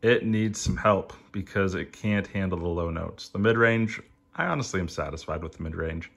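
A middle-aged man giving a reflective personal review, in short emphatic phrases with rhetorical questions and halting pauses. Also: sits low, gravelly, a bit bright, husky. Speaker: audio hobbyist reviewer.

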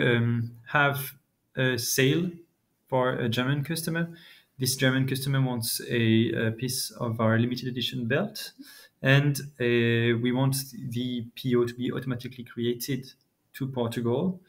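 Man explaining a business scenario. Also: medium pitch. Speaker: co-presenter in the client's role.

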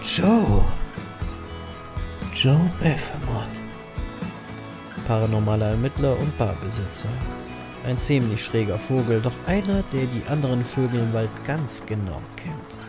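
A man's voice: mid-range voice, lively intonation, measured pace, long pauses, over music. A man playing a weary, wry reaper who narrates a noir horror audio drama in a dry, brooding way. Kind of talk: scripted first-person noir narration, German audio drama.

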